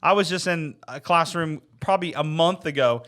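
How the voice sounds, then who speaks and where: A man's voice: on the high side, moderate pace, a bit bright. A man co-hosting a podcast in casual chat, telling stories with enthusiasm and often repeating himself. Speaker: podcast co-host.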